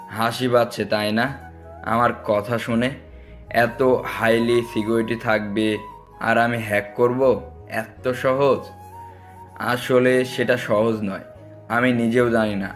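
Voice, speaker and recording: A man plays a menacing hacker villain from an audio story. His delivery is monotone and taunting, each threat laid out slowly and mockingly. Music sits softly underneath. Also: medium pitch, lightly acted.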